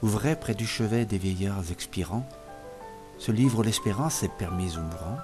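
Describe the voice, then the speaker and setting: A man reciting poetry in a solemn, expressive literary style, in measured phrases that sink softer as lines end. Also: on the low side. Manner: measured phrases falling softer at line ends. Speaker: poetry reader. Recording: music playing behind the voice. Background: music.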